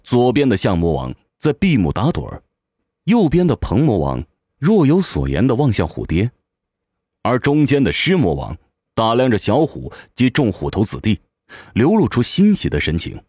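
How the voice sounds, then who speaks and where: A man's voice: mid-range voice, huge pitch swings, normal speed, darkish tone, breathy edge, dry recording, studio quality. A man giving dramatic scripted narration of a fantasy audio novel. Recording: music behind the voice. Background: music.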